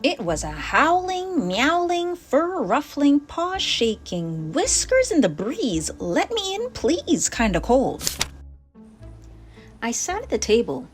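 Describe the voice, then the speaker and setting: Female children's read-aloud host, slightly high voice, unhurried, playful emphasis, music underneath. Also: huge pitch swings. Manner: playful, exaggerated emphasis on descriptive words. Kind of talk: animated children's storybook narration. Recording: music playing behind the voice.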